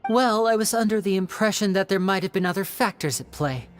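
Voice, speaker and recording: A woman's voice: mid-range voice, fairly quick, close to an everyday voice. An actress playing a composed woman investigator who questions a suspect in a video game, in a cool, probing manner. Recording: faint music behind.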